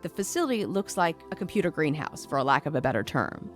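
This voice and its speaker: Woman acting as a chatty lady reporter in an audio drama, kinda low voice, really lively, faint music under it. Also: fast. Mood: engaged, explanatory. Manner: conversational, explanatory, with casual asides. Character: curious, chatty woman journalist reporting from a volcanic base camp. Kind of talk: audio drama, epistolary radio message narration.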